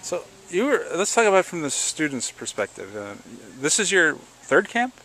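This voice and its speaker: A man's voice: a little high, very animated, moderate pace, pushed and bright, rough recording. A man interviewing people, asking questions hesitantly with many fillers.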